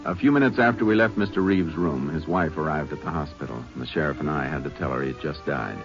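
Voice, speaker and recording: A calm lawman narrator in a 1950s radio western, very deep voice; dull old broadcast with faint music. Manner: calm, measured, matter-of-fact. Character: steady Texas Ranger, calm lawman narrator.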